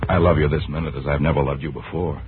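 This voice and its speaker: Low-voiced romantic suitor on old radio. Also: male voice, steady tone, fast, slight background noise. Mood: pleading, ardent. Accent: American. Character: ardent, romantic upper-class young suitor, smooth and persuasive. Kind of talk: 1940s radio acting. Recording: tinny 1940s radio recording, faint music.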